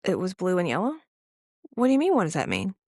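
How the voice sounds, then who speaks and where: A young woman co-hosting a podcast, telling a story in an animated, casual way with laughs. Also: on the low side, fast, dry recording, clean studio sound. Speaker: podcast co-host. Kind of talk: casual chat.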